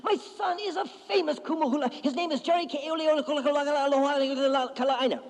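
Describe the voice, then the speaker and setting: A man voicing an excitable elderly man with a lilt, chattering eagerly in a high voice. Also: normal speed, creaky, long reverb tail. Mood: proud.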